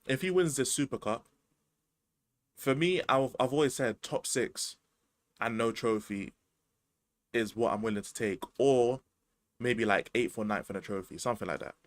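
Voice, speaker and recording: A man's voice: mid-range voice, moderate pace, long pauses, dry recording. A man, chatting casually about football as a podcast host, slangy and rambling. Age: 20s.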